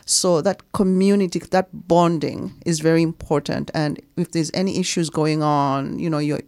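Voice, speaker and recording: A middle-aged woman from Zambia, a guest mother telling her life story in a relaxed interview, often adding "right?" and "you know". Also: deep voice, moderate pace, no echo.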